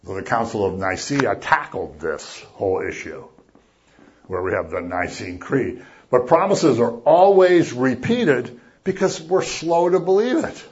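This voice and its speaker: A man preaching a sermon as a pastor, chatty and animated, acting out little voices as he talks. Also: mid-range voice, measured pace, lo-fi sound. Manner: conversational, animated, acts out voices.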